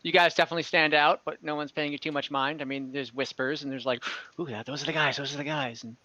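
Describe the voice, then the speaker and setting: Bright-voiced man running a tabletop game. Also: on the high side, fairly even intonation, fast, dry recording. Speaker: game master. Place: home room over online voice chat. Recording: dull online call audio.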